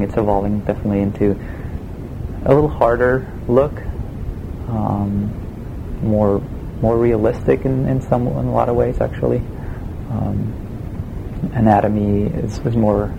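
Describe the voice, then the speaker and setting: A man with a Swiss German accent, a game production designer answering interview questions in a relaxed, hesitant way with many fillers. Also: fairly low pitch, vocal fry, dull audio, noisy background. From Switzerland.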